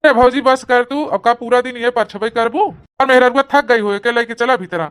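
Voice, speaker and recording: A woman's voice: a little high, moderate pace, clear, resonant tone, lightly acted. A woman voicing a bossy, stubborn village man in a comic family cartoon, blustering and argumentative, with an Awadhi accent. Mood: impatient. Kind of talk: rustic comedy cartoon dialogue.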